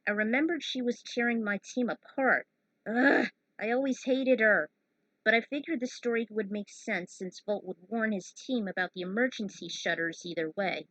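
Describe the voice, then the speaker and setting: A woman's voice: a little high, moderate pace, a bit bright, dry recording, rough recording. A woman in her thirties giving casual, opinionated commentary on her own fan fiction as author and narrator. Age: 30s.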